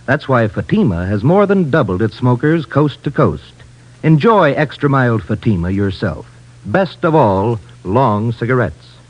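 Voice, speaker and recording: A man's voice: medium pitch, sing-song, unhurried. An American man announcing an advert in 1950s radio style, with an emphatic salesman's delivery. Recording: muffled old radio recording.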